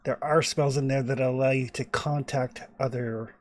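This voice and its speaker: A man of ordinary pitch runs a tabletop horror game, narrating outcomes and rules. He rambles, circles back and pads his sentences with fillers. It sounds like a home microphone on a voice chat. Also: steady tone, normal speed, a little mellow, dry recording. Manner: rambling and chatty, many fillers and restarts. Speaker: game master.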